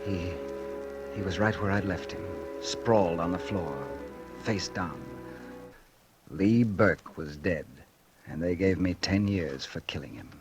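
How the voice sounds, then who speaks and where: An actor playing a bitter, vengeful ex-convict, narrating and speaking in a hard-boiled, brooding 1940s radio drama style. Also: male voice, sits low, slow. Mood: brooding, haunted. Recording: old radio broadcast with loud music behind.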